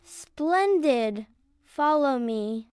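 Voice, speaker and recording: A narrator voicing a kindly, scholarly male library spider with a polite, precise, gently fussy manner. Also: high-pitched, lively intonation, extremely slow, no echo, studio quality, voice pushed up, exaggerated voice. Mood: warm, encouraging.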